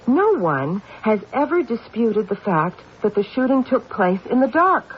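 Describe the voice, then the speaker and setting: An actor playing a stubborn, intuitive police detective in an old-time radio mystery, insistent and deliberate. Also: male voice, sits high, sing-song. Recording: dull, hissy old radio recording.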